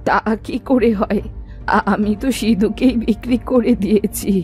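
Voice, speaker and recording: A woman's voice: medium pitch, steady tone, normal speed, warm tone, lightly acted. A cartoon old village woman, acted by a woman, poor, stubborn and keen on money. She talks in a plaintive, defensive, homely way, with music playing faintly behind her. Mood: bargaining. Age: older. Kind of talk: Bengali cartoon moral-story dialogue.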